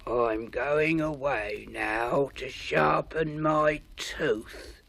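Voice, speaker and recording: A woman voicing a big, hungry, lumpy swamp creature in a storybook, speaking in a slow, drawn-out, grumbling way. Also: rumbling low, vocal fry, a bit bright, voice pushed down, heavily acted. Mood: slow musing.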